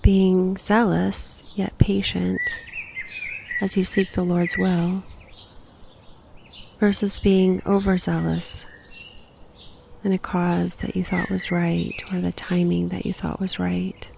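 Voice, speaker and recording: A woman guiding a meditation in a gentle, unhurried, breathy voice that sometimes nears a whisper, giving slow reflective prompts. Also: fairly low pitch, flat delivery, noisy background. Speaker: guided meditation host.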